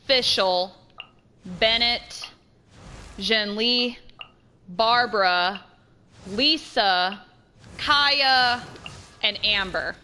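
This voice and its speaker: Slow, bright-voiced woman streaming a game. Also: on the high side, slight background noise. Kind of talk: casual let's play commentary.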